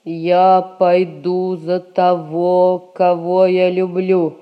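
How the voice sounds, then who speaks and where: A woman's voice: on the low side, level tone, highs cut off. An actress playing a headstrong young merchant-class woman in love, defiant and emphatic in a stage comedy. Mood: defiant, resolute. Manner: deliberate, emphatic, stubborn delivery. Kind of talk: Russian stage comedy recorded as radio play.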